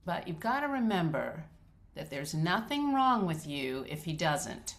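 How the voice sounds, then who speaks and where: An actress reading a script as a patient, supportive therapist, speaking in a measured, gentle, professional way. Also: female voice, deep voice, big pitch swings, exaggerated voice. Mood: measured, reassuring. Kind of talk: dramatic script read.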